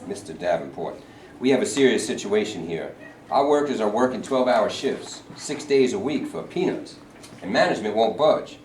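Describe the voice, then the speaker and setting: A man playing a cautious, pragmatic union president in a staged reading, with halting delivery. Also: fairly low pitch, lively intonation, darkish tone, lightly acted. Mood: measured, wary. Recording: echoey room, faint noise.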